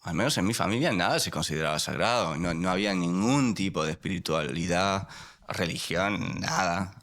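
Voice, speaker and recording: A man born in Spain and raised in the United States, a podcast guest speaking in a measured, reflective, confessional way. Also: low voice, expressive, a bit bright. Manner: measured, reflective, choosing words carefully.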